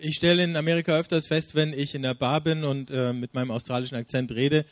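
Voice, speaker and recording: A man's voice: on the high side, steady tone, fast talker, no echo. A man interpreting a speaker's stories sentence by sentence, with frequent hesitation fillers. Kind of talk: consecutive interpretation.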